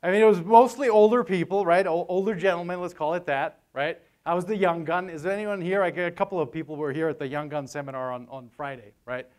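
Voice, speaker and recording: Male seminar speaker, 40s, clear resonant voice on the higher side, conversational and joking. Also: fast, few pauses, darkish tone. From Vienna, Austria. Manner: conversational, joking, often tags sentences with "right?". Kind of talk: informal conference talk. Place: hotel meeting room, on stage at a lectern. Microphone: lapel mic.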